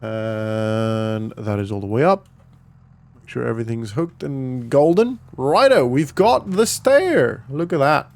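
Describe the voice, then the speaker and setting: A man's voice: medium pitch, animated, slow, a little mellow. A man doing casual, chatty roleplay vlog commentary over a farming video game, thinking aloud in an informal way. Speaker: gaming vlogger and host.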